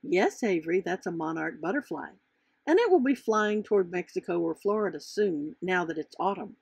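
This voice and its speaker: A woman's voice: lowish voice, lively intonation, moderate pace, creaky, a little mellow, slightly rough, dry recording, full character voice. A woman voicing a patient, instructive female schoolteacher in a children's storybook. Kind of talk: children's storybook character reading.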